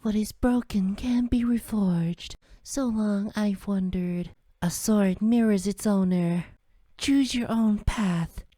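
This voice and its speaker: A man's voice: high-pitched, slow, warm tone, dry recording, voice pushed up. A man voicing a proud, self-possessed adult game heroine with poised delivery. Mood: resolute.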